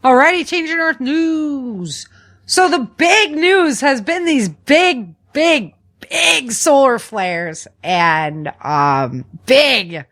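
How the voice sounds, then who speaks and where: A woman's voice: high-pitched, expressive, extremely slow, fairly bright tone. A woman hosting a podcast in an informal, conversational way, repeating words for emphasis as she chats and runs through news. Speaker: podcast host. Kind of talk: casual podcast chat and news rundown.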